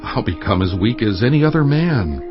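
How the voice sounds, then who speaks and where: An actor playing a mighty, proud biblical strongman, speaking in a dramatic, deliberate, declamatory way. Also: lowish voice, very animated. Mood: teasing deceit. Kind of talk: dramatized scripture audio acting. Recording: dull band-limited audio over music.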